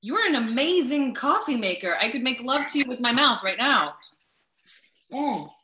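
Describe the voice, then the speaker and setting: A woman playing a brash, blunt, foul-mouthed new mother with joking warmth in a comedic play reading over a video call. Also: fairly high pitch, very animated, moderate pace, roomy sound, slight background noise. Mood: playful. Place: video call in an echoey room.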